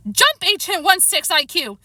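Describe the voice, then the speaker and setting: A woman's voice: high-pitched, wildly swooping pitch, normal speed, sharp tone, no room sound, studio quality, exaggerated voice. A woman voicing a gleeful, melodramatic male cat who plays at spies in a storybook, making dramatic proclamations. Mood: triumphant.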